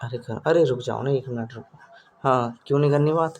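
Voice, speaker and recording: A man's voice: medium pitch, brisk, slight background noise. A young man chatting casually and teasingly on a recorded phone call. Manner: teasing, goading. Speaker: caller chatting with partner.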